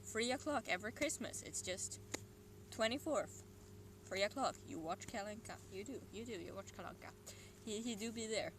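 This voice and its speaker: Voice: medium pitch, normal speed. Rambling Swedish-accented streamer, noisy ambience. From Sweden. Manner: rambling, meandering, with muttered and whispered asides. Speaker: livestreamer. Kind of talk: casual solo stream chat.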